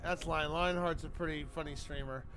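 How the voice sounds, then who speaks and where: A man's voice: fairly high pitch, fairly even intonation, moderate pace, a bit bright. A man live streaming a game, chatting in an informal, rambling, sweary way. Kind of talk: casual chat while gaming. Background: video game music.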